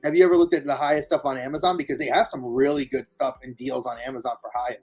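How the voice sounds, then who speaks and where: A man with a New York accent hosting a live chat, animated and friendly. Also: medium pitch, fast, husky, soft background music. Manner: animated, friendly, says dude a lot. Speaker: host.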